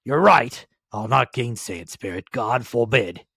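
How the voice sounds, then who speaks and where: A man's voice: medium pitch, lively intonation, measured pace, vocal fry, bright, forceful tone, breathy edge, dry recording, clean studio sound, exaggerated voice. A man voicing an emotional, humbled old man with a fervent, exclaiming manner in a storybook reading.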